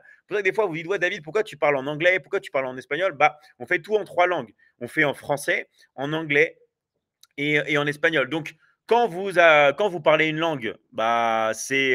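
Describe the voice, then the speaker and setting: Man, live stream host, eager, filler-heavy, bright tense voice, slightly high, dry close sound. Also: dry recording. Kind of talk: live stream sales chat. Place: at home in South America.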